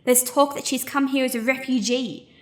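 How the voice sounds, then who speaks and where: An actress playing an inquisitive, brisk female city official who presses for facts in an audio drama. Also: sits high, steady tone, lightly acted. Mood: probing. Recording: echoey room sound.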